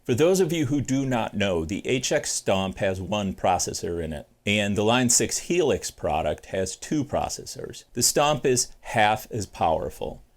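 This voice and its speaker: A man in his forties hosting a casual guitar gear tutorial from his home music studio, chatty and self-deprecating, thinking aloud with fillers. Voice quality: deep bass singing voice. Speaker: guitar channel host.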